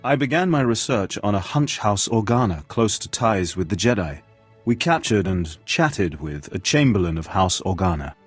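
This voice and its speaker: A man playing a courteous, flattering Sith bodyguard in a video game, with a formal, smooth-talking manner. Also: lowish voice, normal speed, soft background music. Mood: formal, confident. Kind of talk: scripted video game dialogue.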